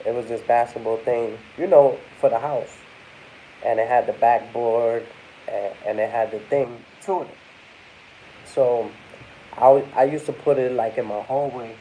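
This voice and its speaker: Young man, stuffy and a bit under the weather, mellow tone, loose rambling vlog chat, faint hiss. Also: medium pitch, steady tone, unhurried, rough recording. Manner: rambling, addresses viewers as y'all. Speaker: vlogger. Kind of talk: casual talk to camera.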